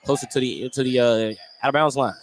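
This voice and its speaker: A man's voice: medium pitch, brisk. A man calling live basketball play-by-play for radio from a noisy indoor gym. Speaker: play-by-play announcer. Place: indoor school gymnasium. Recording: noisy gym ambience, bouncing balls.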